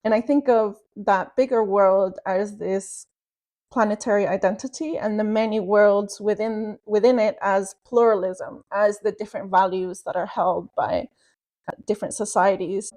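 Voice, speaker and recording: A woman's voice: medium pitch, steady tone, normal speed, a little mellow. Woman from Venezuela, podcast guest, reflective and searching, mid-range voice. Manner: thoughtful, many fillers and restarts. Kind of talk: reflective interview answer.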